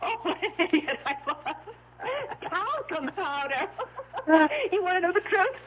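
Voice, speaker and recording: Excitable high-voiced farm wife, old radio. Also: female voice, a little slow, crowd noise. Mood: giddy laughing. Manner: emotional, exclamatory. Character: excitable farm wife, amazed and giddily joyful. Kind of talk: 1970s radio drama acting. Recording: tinny, faintly noisy old radio recording.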